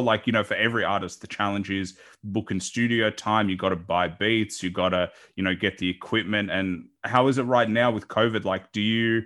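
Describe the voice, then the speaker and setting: A man's voice: on the low side, fairly bright tone. A man hosting a casual interview, asking informal, wordy questions peppered with "like". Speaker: podcast host interviewing a guest.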